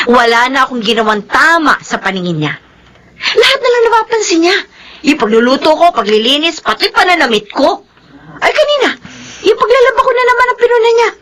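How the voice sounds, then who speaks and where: A woman's voice: high voice, pushed and bright, full character voice. A woman playing a resentful, long-suffering middle-aged wife and mother with plaintive emotional delivery in a Filipino radio drama.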